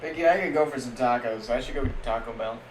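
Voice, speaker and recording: A young man streaming casual, jokey play-by-play of a simulated football league, getting loud on big plays. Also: mid-range voice, quick pace. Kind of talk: live-stream game commentary. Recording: echoey room with faint background noise.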